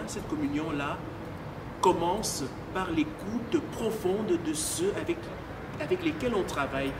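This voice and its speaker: Slow, earnest high-voiced priest outdoors. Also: male voice, recorded from a distance, heavy background noise. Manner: slow, earnest and meditative, building in intensity. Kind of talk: reflective personal testimony. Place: outdoors by a cathedral forecourt.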